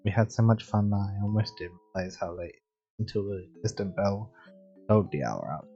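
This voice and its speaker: A woman's voice: extremely low pitch, moderate pace, warm tone, lo-fi sound, soft background music. A woman live-streaming, reading visual novel narration aloud and reacting casually. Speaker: game streamer.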